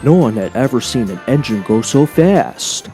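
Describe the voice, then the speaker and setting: A man's voice: medium pitch, expressive, normal speed, dark tone, faint music behind. A man reading scripted narration for a children's story as a storyteller.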